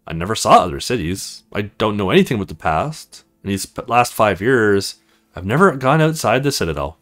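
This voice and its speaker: Casting: man reading as devoted young female servant in a visual novel game, meek, earnest, with very animated pitch. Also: brisk, soft background music.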